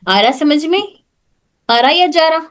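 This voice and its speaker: A woman's voice: sits high, animated, a little slow. A woman teaching Tajweed pronunciation in an online class, patiently repeating points and checking that students follow.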